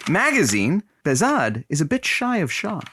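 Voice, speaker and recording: Actor as wry, combative podcast co-host in audio comedy; sly needling with animated intonation. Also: mid-range voice, normal speed, a little hiss or hum, exaggerated voice. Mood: sly, probing. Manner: wry, challenging banter. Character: sardonic, combative co-host needling partner's tall stories. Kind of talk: comedic audio drama banter.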